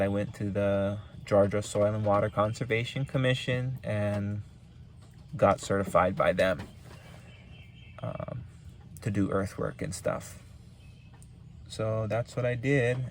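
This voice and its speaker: Gravelly low-voiced man with Hawaiian accent. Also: slight background noise. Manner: rambling, repeats himself, many ums. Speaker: small business owner giving advice. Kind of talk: casual advice vlog.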